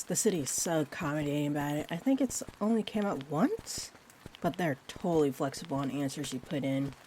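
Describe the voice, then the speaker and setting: A woman playing a sweet, rambling young-looking vampire bartender in an intimate roleplay audio, speaking gently and hesitantly with whimsical tangents. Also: sits low, a little hiss or hum, rough recording. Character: sweet rambling friendly female vampire bartender, looks twenty-four. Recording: steady rain ambience behind the voice. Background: rain.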